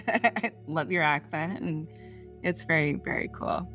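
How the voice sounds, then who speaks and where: Brief: American woman host, lower voice, gushing and affectionate, faint music under. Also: measured pace, a bit bright. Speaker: podcast host. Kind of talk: casual interview chat.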